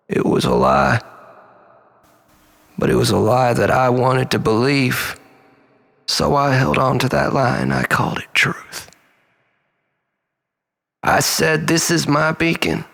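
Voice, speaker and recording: A man delivering a dramatic, confessional spoken-word monologue in a brooding, theatrical manner, often dropping toward breathy near-whispers, with a creaky voice. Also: on the high side, a bit bright. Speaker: storyteller, monologist.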